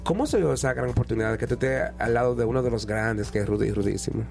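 Male radio host, gravelly voice, chatty interview style, faint music underneath. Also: mid-range voice, fast talker, darkish tone. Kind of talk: casual interview chat.

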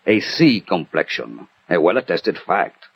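An actor playing a shrewd, commanding French detective in a theatrical, deliberate 1960s radio drama style. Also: male voice, deep voice, sing-song, vocal fry, slightly rough, no room sound, exaggerated voice. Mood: assured, deductive. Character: shrewd, commanding French gentleman detective in 19th-century Paris. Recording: old muffled radio broadcast with loud music.

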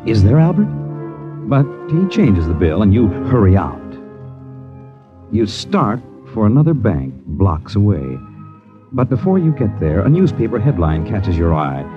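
An actor playing an ominous, taunting storyteller narrating a 1950s radio suspense drama in a dramatic, deliberate manner. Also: male voice, lowish voice, sing-song. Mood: ominous, mocking. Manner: dramatic, deliberate, addresses character as you. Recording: dull vintage radio recording, music behind. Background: dramatic music.

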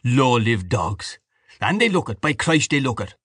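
A man's voice: mid-range voice, sing-song, normal speed, fairly bright tone, breathy edge, no room sound, studio quality, voice pushed up. A man voicing a coarse, sarcastic, blustery father in an audiobook novel. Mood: furious. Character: coarse, bitter, mocking Irish father at dinner.

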